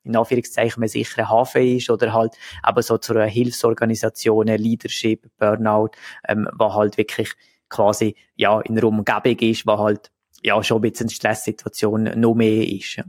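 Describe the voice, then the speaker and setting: A man's voice: on the low side, completely flat delivery, normal speed, no echo, clean studio sound. A man with a Swiss German accent hosting a podcast in a conversational interview style. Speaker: podcast host.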